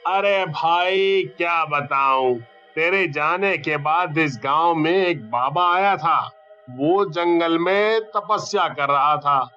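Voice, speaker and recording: A solemn young villager voiced by a man in a cartoon story, speaking very slowly in a grave storytelling manner. The audio is dull, with faint music beneath. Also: fairly high pitch, pushed and bright.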